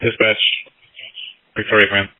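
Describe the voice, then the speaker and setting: A man's voice: fairly low pitch, monotone, normal speed. A male incident commander speaking in procedural exchanges over a tinny emergency radio.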